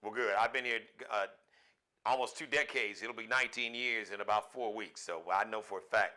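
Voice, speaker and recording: A man's voice: fairly low pitch, fairly even intonation, brisk, sharp tone. A man hosting an interview, chatting in a conversational, affirming way and asking the guest questions. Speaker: radio show host. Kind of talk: interview host chat.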